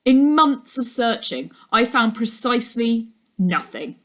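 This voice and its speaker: A woman's voice: on the high side, big pitch swings, a bit bright. Woman reading as earnest detective uncle. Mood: earnest, proud. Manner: measured, explanatory, serious. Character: earnest, proud detective uncle, grown man. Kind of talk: storybook dialogue.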